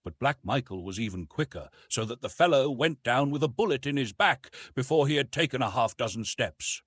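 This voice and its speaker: A man's voice: mid-range voice, lively intonation, fairly quick, fairly bright tone, breathy edge, no room sound. A man reading a classic adventure novel as a scripted audiobook narration.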